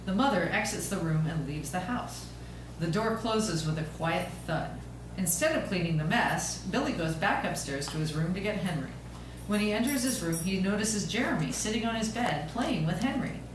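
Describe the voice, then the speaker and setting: A woman's voice: mid-range voice, expressive, normal speed. A woman reading scripted stage narration at an amateur table read. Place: echoey room, recorded from a distance. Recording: very echoey room, distant mic, clear noise.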